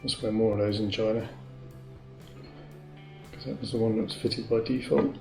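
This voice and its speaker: A man's voice: lowish voice, steady tone, moderate pace, gravelly, over music. A British man giving a casual hands-on product demonstration, chatty and matter-of-fact as he thinks aloud while working. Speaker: motorcycle gear reviewer. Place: indoors at home. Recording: echoey room with faint clicks and noise.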